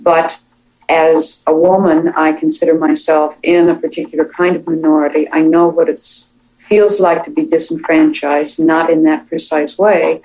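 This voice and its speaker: A woman giving thoughtful, measured interview answers as a television writer, speaking reflectively about her work. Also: sits low, a little mellow, poor audio.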